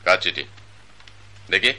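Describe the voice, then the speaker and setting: A man's voice: slow pace, sharp tone, slight background noise. An actor playing a stern, self-righteous wealthy father, older in age, in a radio drama. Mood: scolding. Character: wealthy stern patriarch, pompous older man.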